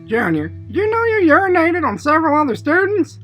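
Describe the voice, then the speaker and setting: A man's voice: very high-pitched, big pitch swings, a little fast, a bit bright, soft background music, full character voice, rough recording. A man voicing a stern, incredulous school disciplinarian with a formal manner in a comedy puppet show. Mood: stern, baffled.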